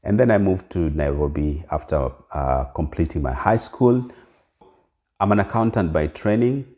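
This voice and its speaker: A man's voice: deep voice, expressive, normal speed, warm tone. A middle-aged Kenyan man with a Kenyan accent talking earnestly to his viewers, full of ums and restarts. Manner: earnest, lots of fillers and restarts. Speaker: vlogger. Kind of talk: casual direct-to-camera talk. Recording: dull lo-fi sound.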